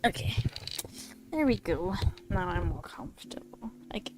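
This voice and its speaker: A woman's voice: medium pitch, expressive, measured pace. A young woman chatting casually as she streams a game, giggly and slangy. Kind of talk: casual gameplay chat. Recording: game music behind voice.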